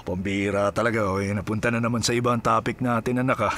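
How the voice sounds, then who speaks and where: A man's voice: on the low side, steady tone, fast talker, fairly bright tone, slight background noise. An actor playing a playful, charming policeman husband and doting father in a Filipino audio drama, teasing and breaking into song. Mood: tender, coaxing.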